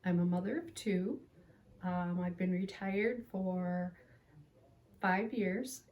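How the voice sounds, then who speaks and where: A seventy-year-old retired woman answering interview questions in a calm, plainspoken way. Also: fairly low pitch, takes it slowly, muffled recording. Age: 70s.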